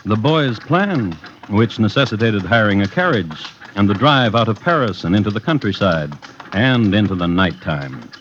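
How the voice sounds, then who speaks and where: An American man narrating a 1950s radio crime series as host, with a wry, deliberate storytelling manner. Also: on the low side, animated, a bit bright, a little hiss or hum. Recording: muffled vintage radio recording. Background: prominent musical accompaniment.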